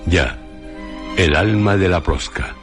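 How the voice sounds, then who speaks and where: Guy with doing radio intro, really deep slow solemn voice, faint music behind. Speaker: presenter of a radio drama programme. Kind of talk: scripted literary introduction. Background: background music.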